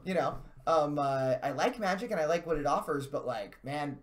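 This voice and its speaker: A man's voice: fairly high pitch, expressive, moderate pace. A man hosting a live stream with casual gaming commentary, talking in a chatty, self-deprecating and mildly sweary way.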